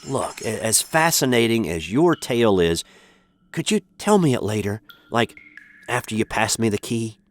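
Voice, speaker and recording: Straight-man lead, male actor: puzzled American captive in a radio sitcom, courteous, lowish and very animated intonation. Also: moderate pace, soft background music. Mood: weary disbelief. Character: bewildered, polite American man chained in a dungeon. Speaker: narrator star of the show. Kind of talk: comic radio sitcom acting.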